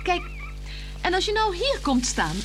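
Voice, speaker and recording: An actress playing a playful, flirtatious married woman in a radio play, teasing and coaxing, moving between hushed words and exclamations. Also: extremely high pitch, huge pitch swings, unhurried, exaggerated voice, poor audio. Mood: playful, excited. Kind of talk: 1960s Dutch radio play acting. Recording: 1960s radio drama with music.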